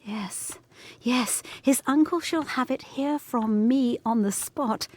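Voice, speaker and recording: Gothic audio drama governess: slightly high voice, intense, headstrong, formal period diction. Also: female voice, slow, darkish tone. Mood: determined. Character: governess, resolute, agitated young woman in gothic ghost story.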